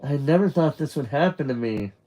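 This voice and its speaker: A man's voice: medium pitch, animated, fairly quick, mellow, close to an everyday voice, low-quality recording. A man voicing a shy, emotional teenage boy in a story, tentative and earnest. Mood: tearfully happy.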